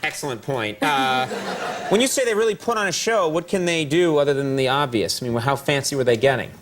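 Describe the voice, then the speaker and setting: A man's voice: medium pitch, fairly quick, a faint crowd. A man in his forties hosting a late-night talk show, teasing his guest in a playful, joking way, recorded in a television studio. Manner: playful, teasing, lively delivery. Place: television studio with live audience. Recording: broadcast with audience noise.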